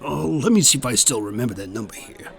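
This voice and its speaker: A man's voice: medium pitch, lively intonation, quick pace, vocal fry. A man playing a laid-back, folksy turkey hotline operator in a comedy sketch. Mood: easygoing. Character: easygoing turkey hotline helper.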